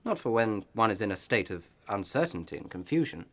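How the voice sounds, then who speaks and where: An actor playing a pious parish clergyman in a radio play, offering consolation in measured, sermonising phrases. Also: lowish voice, very animated. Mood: solemn consolation.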